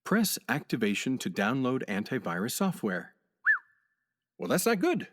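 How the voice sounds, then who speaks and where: A man's voice: medium pitch, wildly swooping pitch, a little slow, background noise. A man acting a breezy, folksy, supremely self-confident job candidate who talks in glib platitudes, in a comedic radio play. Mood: musing.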